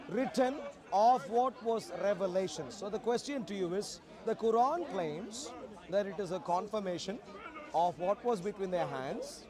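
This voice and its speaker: A man in his forties debating religion off the cuff in the street, insistent, repeating his questions and talking over his opponent. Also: sits high. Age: 40s. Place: outdoors at a public park speakers' corner. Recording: outdoor street recording with crowd noise.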